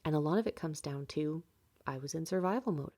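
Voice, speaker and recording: A woman's voice: low voice, expressive, fairly quick, mellow. A woman in her late twenties hosting a podcast from home, talking candidly about her life with many fillers and light laughs. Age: late 20s. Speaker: podcast host. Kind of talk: personal reflective monologue.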